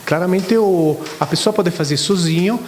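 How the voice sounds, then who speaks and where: A man's voice: sits high, normal speed, a little mellow, big-room sound, slight background noise. A man from Italy with an Italian accent, a lawyer answering interview questions in hesitant speech full of fillers. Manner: hesitant, many "eh" fillers, searching for words. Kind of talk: studio TV interview.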